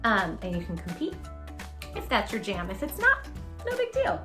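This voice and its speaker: Animated, bright-voiced woman reading to children. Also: mid-range voice, moderate pace, soft background music. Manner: encouraging, addresses the child listener directly with questions. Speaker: host reading picture books aloud to children. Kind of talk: animated children's read-aloud with chatty asides to young listeners.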